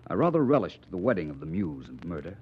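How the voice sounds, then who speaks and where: An actor playing an urbane, coolly confident amateur detective with an erudite, wry manner in a 1940s radio mystery. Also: male voice, deep voice, big pitch swings, quick pace, husky, exaggerated voice. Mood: calm, wry. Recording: muffled late-1940s radio transcription.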